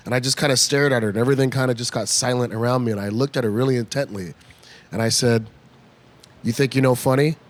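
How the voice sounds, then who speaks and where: A man in his fifties from California, guesting in a comedy club bar. He tells lively anecdotes at a mid-range pitch and slips into the voices of the people he quotes. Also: quick pace. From United States (California). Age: 50s. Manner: animated storyteller who acts out quoted voices. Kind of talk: casual interview chat.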